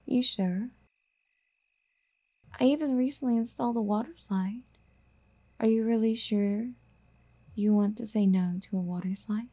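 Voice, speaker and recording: A woman playing a doting, possessive rich girlfriend in an ASMR roleplay, soft-spoken and coaxing, often slipping into whispers. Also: fairly high pitch, measured pace, pauses often. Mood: coaxing, eager.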